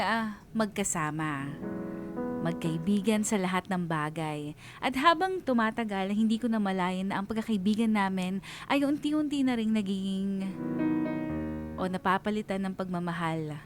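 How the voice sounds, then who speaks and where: A woman with a Filipino accent narrating a scripted first-person story as a radio DJ, reflective and sentimental, with pauses. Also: medium pitch, lively intonation, music in the background. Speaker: radio DJ reading a listener's story.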